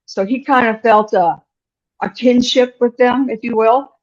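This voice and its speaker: A woman's voice: a little high, measured pace, a little mellow, highs cut off. A woman in her sixties, a business owner telling her story in an interview in a frank, direct and chatty way. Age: 60s. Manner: frank and direct, chatty, acts out quoted dialogue.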